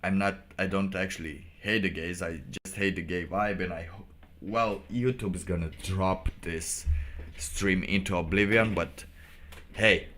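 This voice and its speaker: A man hosting a casual solo livestream, giving sardonic commentary in a halting way and repeating phrases for emphasis. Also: sits low, fairly even intonation, fairly bright tone, a little hiss or hum. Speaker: livestream host.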